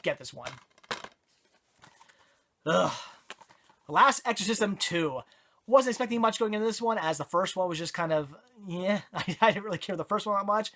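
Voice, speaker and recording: A movie reviewer whose voice is going hoarse, chatting casually and correcting themself with lots of ums and restarts. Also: mid-range voice, expressive, normal speed, long pauses, fairly bright tone. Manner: rambling, self-correcting, many fillers. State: hoarse, voice giving out.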